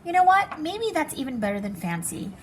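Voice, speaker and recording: A woman reading as an excitable, fancy little girl from a storybook, dramatic and showy with her favorite words. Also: high voice, brisk, a little hiss or hum, pitched above the performer's own voice. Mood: eager.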